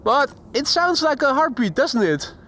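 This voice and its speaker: A man's voice: very high voice, very animated, a little slow, sharp tone, a little hiss or hum. A Dutch man with a Dutch accent hosting a live plane spotting stream outdoors by an airport, chatting casually and reading chat messages aloud. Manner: chatty, reads chat aloud, imitates sounds. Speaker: plane spotting livestream host. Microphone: handheld shotgun mic.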